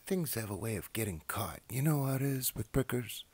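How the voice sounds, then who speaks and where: A man's voice: medium pitch, expressive, a little fast, a little mellow, breathy edge, pitched above the performer's own voice. A man reading aloud and voicing a messy, cheerful male pack rat in a storybook, in a chatty, breezy way.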